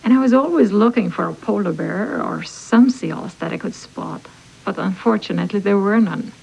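A woman's voice: mid-range voice, moderate pace, creaky, a little mellow, slightly rough, poor audio. A woman giving a reflective account as a documentary interviewee, on an archival radio recording.

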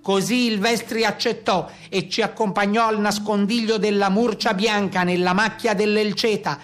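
A man's voice: sits high, fairly even intonation, normal speed, fairly bright tone. A man playing an aggrieved carabiniere who gives a formal, report-like account in a theatrical monologue. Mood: formal, earnest. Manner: formal, orderly report-like telling. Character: male carabiniere recounting a brigand manhunt, formal, aggrieved.

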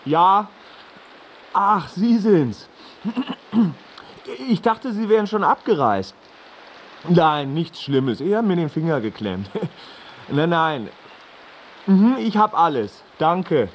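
A man's voice: a little high, big pitch swings, slow pace, long pauses, slight background noise. An actor in a radio play voicing an irritable middle-aged family man who grumbles to himself. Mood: strained politeness. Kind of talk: naturalistic radio play acting.

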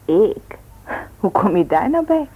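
Lively, sensible young woman. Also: medium pitch, slow pace, breathy edge, narrow, tinny audio, heavily acted. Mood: curious. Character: sensible, teasing young woman, elder daughter of the family. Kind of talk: Dutch radio play acting.

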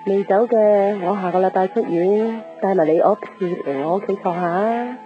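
A woman's voice: mid-range voice, moderate pace, close to an everyday voice. An actress playing a kindly, homely middle-aged mother in a Cantonese radio drama. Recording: dull radio broadcast, faint music.

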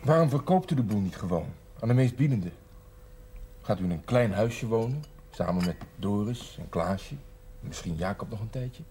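An actor playing a reproachful grown son in a naturalistic television drama, with a husky voice. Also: male voice, on the low side, expressive, moderate pace, mellow, a little hiss or hum, natural voice. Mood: practical, pressing. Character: reproachful grown son confronting his mother.

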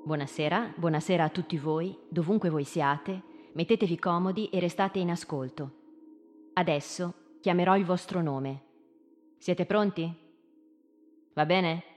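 An actress as a gently commanding woman teacher in a radio drama, her voice on the low side. She speaks slowly and deliberately, asks questions and leaves pauses, with faint music behind her. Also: close to an everyday voice. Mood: calm, inviting. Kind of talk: live radio drama, slow deliberate storytelling.

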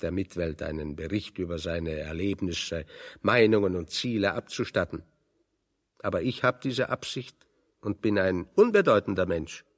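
An actor playing a wry, pessimistic exiled intellectual in a German radio drama, lecturing with pointed irony. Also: male voice, on the low side. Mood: wry, musing. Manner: ironic, discursive, pointed.

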